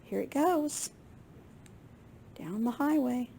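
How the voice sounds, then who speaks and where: A woman with a slightly husky, gravelly voice reading a picture book aloud to young children in an expressive way. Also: sits high, slow pace, a little hiss or hum. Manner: expressive, with gasps and questions to young listeners. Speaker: children's librarian storyteller.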